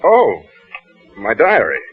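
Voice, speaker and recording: An actor playing a charming, teasing husband with a husky voice and polished manner in a 1940s radio drama. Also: male voice, mid-range voice, wildly swooping pitch, takes it slowly, exaggerated voice. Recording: dull lo-fi 1940s radio broadcast.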